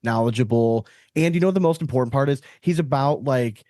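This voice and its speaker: A man's voice: medium pitch, fairly quick, warm tone, no room sound, studio quality. A man hosting a podcast in casual chat, blunt and prone to swearing. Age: 30s or older. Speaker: podcast host.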